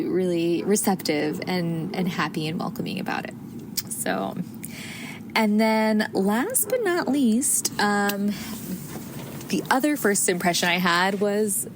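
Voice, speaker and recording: An American woman in her thirties talks through a casual solo podcast, chatty and full of little restarts and fillers. Her voice sits at an ordinary pitch, with clear noise running behind it. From United States. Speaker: podcast host. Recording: audible background noise.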